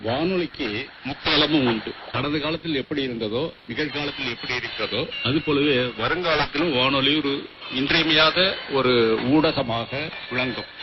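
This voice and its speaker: A man's voice: medium pitch, moderate pace. Older Tamil man on noisy shortwave. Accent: Indian Tamil. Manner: formal, literary phrasing. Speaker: retired radio official, interviewee. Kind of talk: measured formal interview answers. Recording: noisy muffled shortwave radio broadcast.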